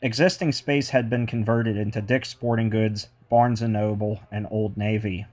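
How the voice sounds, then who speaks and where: Chatty man, lowish voice. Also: fairly even intonation, moderate pace, darkish tone. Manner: enthusiastic, chatty asides. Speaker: mall tour vlogger. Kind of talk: walking tour narration with history. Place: indoor shopping mall.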